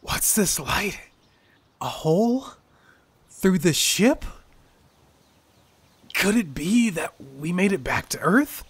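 A woman playing a dazed, badly injured crash survivor in a sci-fi audio drama, groaning and halting in a hoarse voice. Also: on the low side, very animated, dry recording, exaggerated voice. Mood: dazed, wistful. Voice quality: hoarse, gravelly, breathy.

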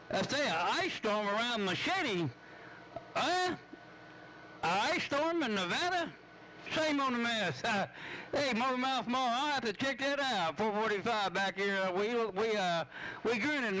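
A man's voice: very high-pitched, animated, a little slow, low-quality recording. A man making folksy, repeated calls in casual CB radio slang. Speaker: CB radio operator. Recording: bright CB receiver audio with faint noise.

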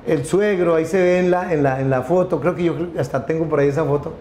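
A man's voice: on the high side, quick pace, a little mellow. A middle-aged man telling a story in a casual interview, rambling with many restarts and repeated words. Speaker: company founder, interview guest. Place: room with wooden wolf statue, indoors. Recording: echoey room, faint background noise.

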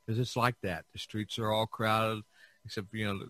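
A man hosting a podcast in casual chat, speaking haltingly and repeating words as he searches for phrasing. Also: on the low side, flat delivery, a bit bright, no room sound. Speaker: podcast host.

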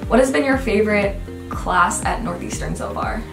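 A woman's voice: mid-range voice, normal speed, gravelly. Young woman chatting in a roomy space. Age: young adult. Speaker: vlog host interviewing her roommate. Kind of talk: casual chat. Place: small dorm room, indoors. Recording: roomy, distant mic, music behind.

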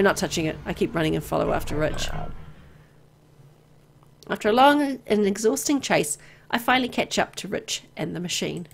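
A woman's voice: on the low side, vocal fry. A woman reading a first-person story aloud in a dramatic narrating style. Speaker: visual novel reader.

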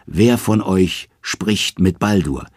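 A man's voice: deep voice, animated, slow pace, slightly rough, dry recording, clean studio sound, heavily acted. A man voicing a proud, grieving goddess mother in an audio saga, defiant and imploring. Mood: defiant pleading.

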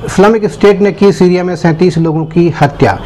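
A man presenting a scripted news bulletin in a formal, measured announcing manner. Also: high-pitched, over music.

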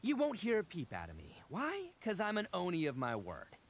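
A man's voice: high voice, huge pitch swings, moderate pace, slight background noise, exaggerated voice. A man voicing a brash, boastful but good-hearted young oni gang leader in a video game, swaggering and slangy, stammering when flustered. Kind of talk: animated video game voice acting.

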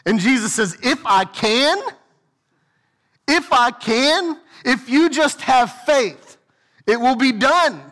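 High-voiced preacher pacing the stage. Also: male voice. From United States. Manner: earnest preaching, swings between soft low asides and raised emphatic lines. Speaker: senior pastor. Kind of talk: sermon. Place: large church sanctuary with stage.

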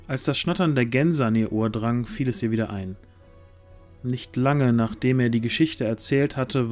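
Male narrator for fantasy audio drama: medium pitch, scripted storytelling, light music bed. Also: a little mellow.

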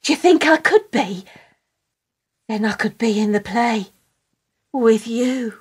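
A woman's voice: a little high, lively intonation, slow pace, breathy edge, full character voice. An actress in a radio play voicing an eager, pushy female fan, theatrical and pleading. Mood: dreamy, gushing.

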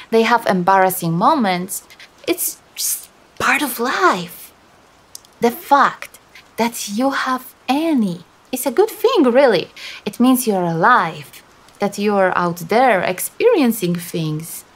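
A woman voicing a teasing but sympathetic female demon in an intimate ASMR roleplay, coaxing softly with dramatic hesitations. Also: mid-range voice, expressive, slow. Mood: gentle, consoling.

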